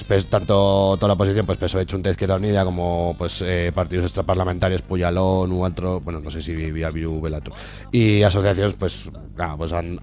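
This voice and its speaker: Aragonese guy doing political commentary on radio, kinda low voice, sardonic, stumbles along with lots of fillers, music underneath. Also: darkish tone. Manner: hesitant, halting, with repeated filler words. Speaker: radio segment contributor on the Aragonese language.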